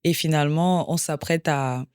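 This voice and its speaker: A woman in her thirties from Cameroon, a podcast guest who talks in a reflective, searching way with many pauses and fillers. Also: low voice, steady tone, darkish tone, clean tone. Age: 30s. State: emotional, close to tears. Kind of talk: podcast interview.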